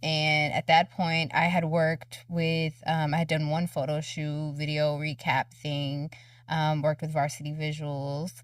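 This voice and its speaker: A woman's voice: sits low, little pitch movement, a little slow, dry recording, clean studio sound, distant mic. A woman from North Carolina, born in Ethiopia, chatting informally in short phrases as an interview guest. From born in Ethiopia, grew up in North Carolina. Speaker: interview guest, behind-the-scenes creative. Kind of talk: casual chat.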